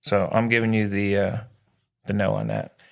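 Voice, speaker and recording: A man's voice: on the low side, very animated, moderate pace, creaky. An American man co-hosting a sports podcast, chatting casually in a slangy, exclamatory, teasing way.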